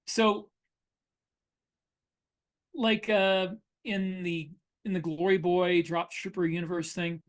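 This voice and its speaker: A man's voice: high-pitched, flat delivery, slow pace, pauses often. A middle-aged man co-hosting a podcast as an author, talking casually in an anecdotal, explanatory way. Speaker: podcast co-host and science fiction author. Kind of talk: casual conversational discussion.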